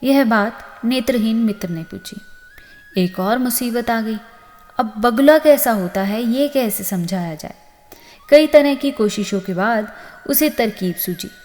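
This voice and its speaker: A woman narrating a scripted moral story as host, with an expressive storytelling delivery. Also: a little high, measured pace. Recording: long reverb on the voice.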